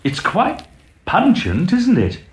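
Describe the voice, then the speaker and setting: Man acting a high-voiced, animated young student. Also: heavily acted. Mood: puzzled, curious. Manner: brisk, blunt, animated. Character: brisk, practical young medical student, level-headed and blunt. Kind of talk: acted audio drama dialogue.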